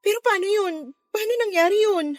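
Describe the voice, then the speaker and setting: A woman's voice: very high voice, steady tone, fairly quick. A woman acting a troubled, hurt young girlfriend in a Tagalog radio drama. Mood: confused, disbelieving.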